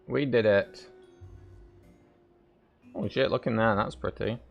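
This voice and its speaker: A man's voice: fairly low pitch, fairly even intonation, slow pace, creaky, highs cut off. A man giving casual gameplay commentary as a streamer, musing aloud in an offhand way. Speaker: game streamer. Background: game music.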